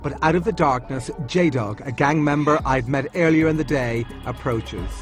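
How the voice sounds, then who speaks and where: Man narrating a documentary over music. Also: mid-range voice. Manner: measured, first-person storytelling. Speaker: documentary presenter. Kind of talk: scripted narration. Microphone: studio voice-over. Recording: over loud score music.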